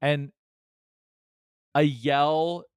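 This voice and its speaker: Podcast co-host, male, animated storyteller, smooth voice, dry close mic. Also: fairly high pitch, drawn-out words, dry recording. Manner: animated storyteller, laughs often, many restarts. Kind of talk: casual chat.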